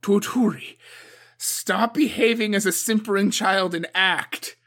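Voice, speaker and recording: A man voicing a young woman warrior who spits and shouts her rage in a high, strained voice. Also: measured pace. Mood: enraged. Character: furious young woman warrior, seething and scornful.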